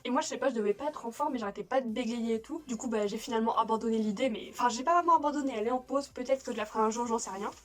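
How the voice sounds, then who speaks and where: Young French woman vlogger, rapid and self-mocking chat from her room, bright-ish higher voice. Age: young adult. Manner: chatty, self-mocking, frequent laughs and restarts. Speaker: YouTuber. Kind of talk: casual vlog chat. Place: bedroom at home.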